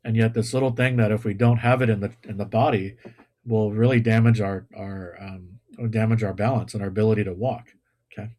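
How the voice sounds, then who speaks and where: A man giving a sermon to his congregation over a video call, relaxed and self-deprecating, with pop-culture asides. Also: lowish voice, brisk. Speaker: pastor. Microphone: video call or livestream.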